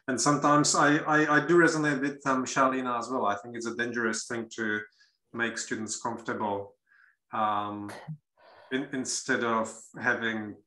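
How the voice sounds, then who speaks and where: A man with a Czech accent speaking as a panelist, thoughtful and pausing often to find his words. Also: medium pitch, pushed and bright, reverberant, poor audio. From Czech Republic. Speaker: panelist, university academic. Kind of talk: measured panel discussion remarks.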